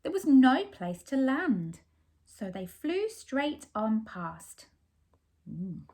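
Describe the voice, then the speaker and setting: A woman's voice: high voice, sing-song, takes it slowly, dark tone. A woman reading a picture book aloud expressively, putting simple questions and explanations to young children. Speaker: children's story reader.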